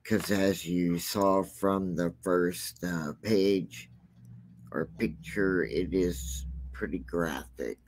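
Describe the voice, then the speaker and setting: A man with a deep, scratchy voice hosting a true crime channel, talking casually and rambling with many fillers. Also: steady tone, very slow, darkish tone.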